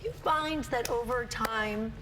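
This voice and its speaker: Playful, upbeat female news anchor, higher pitch, noticeable studio hum. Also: slow. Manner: bubbly, chatty, playful. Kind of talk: live TV morning show interview.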